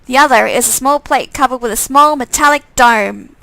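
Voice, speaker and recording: A woman's voice: high-pitched, moderate pace, gravelly, sharp tone, no echo. A woman narrating a visual novel live, reading aloud with casual, self-deprecating asides and correcting herself.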